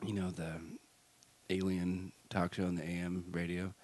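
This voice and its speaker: A man in his thirties, talking quietly and low-key in a casual podcast chat on a microphone. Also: monotone, normal speed.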